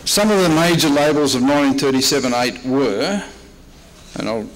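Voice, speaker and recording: A man's voice: mid-range voice, normal speed, slight background noise. A man with an Australian accent giving a prepared history lecture, largely read aloud, in a reverberant convention room. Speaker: historian and archivist giving a talk.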